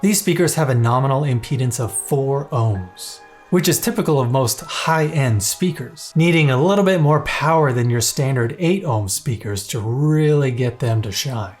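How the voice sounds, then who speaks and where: Male reviewer, playful and enthusiastic scripted read, mid-pitched, faint music under. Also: expressive, normal speed, few pauses. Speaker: product reviewer.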